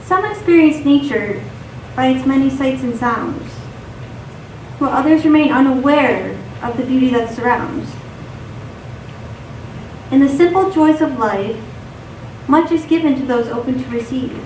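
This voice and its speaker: A woman reading scripted poetry and stories in a measured, recited delivery for radio theatre. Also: high-pitched, expressive, a little mellow. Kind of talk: scripted poetry and story narration. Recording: distant echoey stage recording, noisy.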